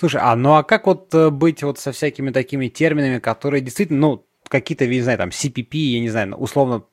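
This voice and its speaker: A man's voice: medium pitch, fast, no echo. Dry-sounding man hosting a chat. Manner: searching, loose questions with fillers. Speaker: podcast host. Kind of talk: moderating a panel discussion.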